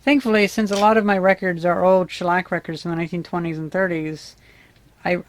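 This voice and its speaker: A vlogger giving a casual, unscripted demonstration, musing and tentative as if thinking aloud. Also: medium pitch. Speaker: vlogger demonstrating vintage audio gear. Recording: clicks and background noise.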